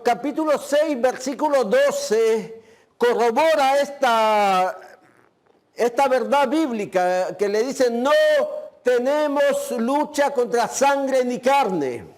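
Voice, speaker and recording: A man preaching a sermon as pastor, delivering slow, emphatic phrases and often saying "este" between them. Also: extremely high pitch, darkish tone. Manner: uses the filler "este".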